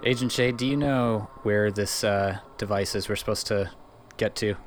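A man's voice: lowish voice, fairly even intonation, normal speed, soft background music. A man improvising his moves and lines as a player in an audio role-playing game.

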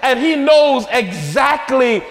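A man preaching a sermon with passion, shouting in rhythmic, repeated phrases. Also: extremely high pitch, animated, slow pace. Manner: impassioned, shouting in rhythmic repetitions. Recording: long hall reverb.

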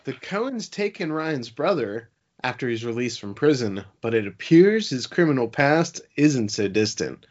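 Animated male podcast co-host. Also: mid-range voice, measured pace, muffled recording. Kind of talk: casual banter, some read-out synopsis.

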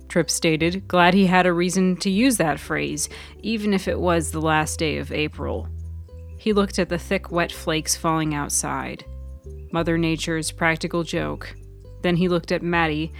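A woman reading scripted fiction as the narrator of a story podcast, close to the mic. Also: fairly low pitch.

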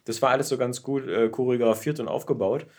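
A man's voice: mid-range voice, quick pace, a little mellow. A man co-hosting a podcast, talking casually about films with colloquial, sarcastic joking. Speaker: podcast co-host. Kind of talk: casual film discussion.

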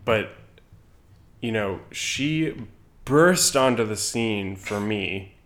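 A man's voice: on the low side, expressive, very slow, fairly bright tone. A man co-hosting a podcast, chatting casually and jokingly about a favorite television performance. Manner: joking, rambling. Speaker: podcast co-host.

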